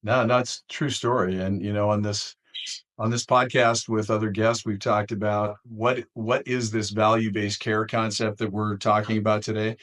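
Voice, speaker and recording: A man's voice: lowish voice, little pitch movement, normal speed, a bit bright. A middle-aged or older American man hosting a conversational interview, with wry, folksy turns of phrase. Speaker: podcast host.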